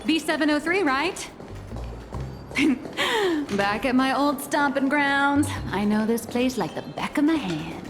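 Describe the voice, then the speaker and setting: A sly game heroine with a bright, somewhat high voice, teasing and self-assured. She switches between playful quips and confident asides. Her lines carry a long hall-like reverb, with soft game music under them. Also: big pitch swings, slow pace, exaggerated voice. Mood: breezy. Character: playful scheming young woman of many identities. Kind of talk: video game voice acting.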